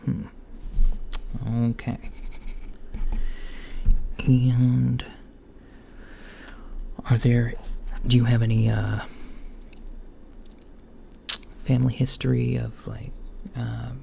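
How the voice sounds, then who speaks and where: A soft-spoken man playing a mild, curious alien doctor in an ASMR roleplay, hesitant and politely clinical, often dropping toward a whisper. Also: mid-range voice, completely flat delivery, extremely slow, creaky, long pauses, heavily acted. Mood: gentle, attentive. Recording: lo-fi with faint background noise.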